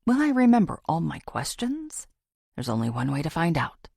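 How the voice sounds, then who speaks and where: A woman reading a first-person thriller audiobook in a dramatic, expressive way. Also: low voice, moderate pace, creaky, husky, no room sound, studio quality. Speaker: audiobook narrator.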